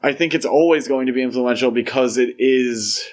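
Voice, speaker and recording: A man with an average-pitched voice talks as a podcast co-host. His delivery is conversational and informal, full of little verbal fillers as he discusses films. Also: moderate pace. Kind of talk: casual film discussion.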